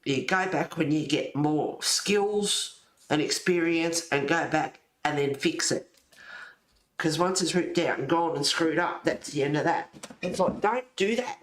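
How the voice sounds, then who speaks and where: A man's voice: on the high side, unhurried, fairly bright tone, echoey room, poor audio. A man chatting casually and informally while colouring, rambling and thinking aloud as he goes. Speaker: host of a colouring channel.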